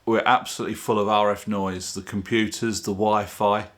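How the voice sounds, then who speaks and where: A man's voice: on the low side, level tone, moderate pace, a bit bright. A man presenting his own video in a chatty, informal, plain-spoken way, explaining things casually to camera. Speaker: video channel host.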